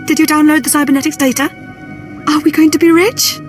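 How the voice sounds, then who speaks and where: A woman plays a greedy, bloodthirsty schemer from a video game. Her high voice is coy and eager, flirting over clear background music. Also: steady tone, fairly quick, close to an everyday voice.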